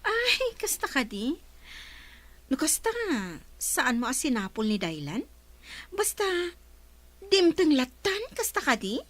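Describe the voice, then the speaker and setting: A woman's voice: high-pitched, big pitch swings, slow pace, pauses often, a bit bright, exaggerated voice. A woman with an Ilocano Filipino accent playing a chatty, teasing friend of a bride in a radio comedy drama.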